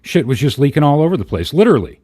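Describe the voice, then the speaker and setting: A man's voice: on the high side, sing-song, rapid-fire, warm tone, dry recording, clean studio sound. A man in casual livestream commentary, blunt and profane, rambling through his opinions.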